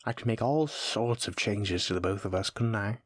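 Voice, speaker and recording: Casting: male actor for a sadistic, playful monster in horror audio, lowish voice, close dry sound, taunting and musing with slow menace. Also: dry recording, natural voice. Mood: curious, pleased. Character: sadistic playful male shape-shifting monster, sinuous and many-legged. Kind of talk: horror audio roleplay addressed to the listener.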